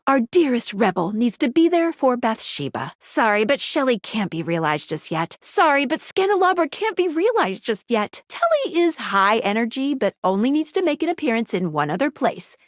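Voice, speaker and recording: An actress voicing a perky, cheeky guide character in a dating video game, with a chirpy, teasing and expressive delivery. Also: female voice, a little high, no echo, clean studio sound. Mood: bright, apologetic.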